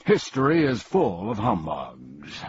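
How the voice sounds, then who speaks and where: A man's voice: on the low side, wildly swooping pitch, slow, muffled recording, a little hiss or hum, full character voice. A man doing a pompous blustering old humbug. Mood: boastful. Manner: bombastic, boastful declaiming.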